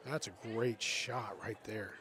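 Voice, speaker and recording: A man's voice: lowish voice, measured pace. Chatty man calling pool. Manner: chatty, excitable, coaching players aloud. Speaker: pool commentator and team captain. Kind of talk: live pool commentary. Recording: loud crowd around.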